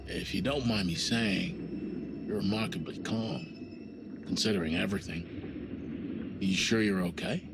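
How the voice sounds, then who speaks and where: A man's voice: deep voice, slow, long pauses, very noisy. A man playing a stern, decisive spaceship commander in a sci-fi horror game, with a clipped, authoritative delivery. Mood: measured, concerned. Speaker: voice actor in a video game. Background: music.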